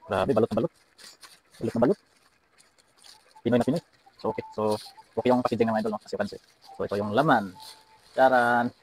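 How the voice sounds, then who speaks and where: A man's voice: medium pitch, quick pace, pauses often, rough recording. A man with a Filipino accent hosting a casual unboxing vlog, speaking to viewers and often repeating his phrases. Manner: repeats phrases and address tags. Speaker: vlog host. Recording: some background noise.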